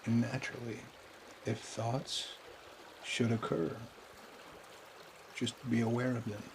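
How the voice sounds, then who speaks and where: A man leading a guided meditation in a calm, unhurried way, with pauses between short phrases. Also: mid-range voice, noisy background.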